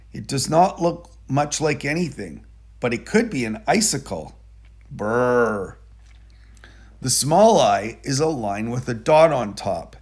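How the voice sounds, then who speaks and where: A man reads a picture book aloud for young children. His voice is husky and lively in pitch, and he takes his time, telling the story in a deliberate, expressive way. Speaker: storybook reader.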